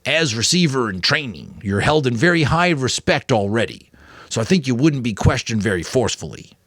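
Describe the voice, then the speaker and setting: A man voicing a thoughtful, caring old mentor in a dramatic audiobook, measured and advisory. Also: mid-range voice, big pitch swings, a bit bright, no echo, voice pushed up. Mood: advising.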